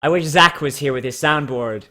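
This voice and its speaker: A man's voice: fairly high pitch, moderate pace, pushed and bright. A man giving casual, mocking comedic commentary as the host of a reading show. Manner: sarcastic, mocking.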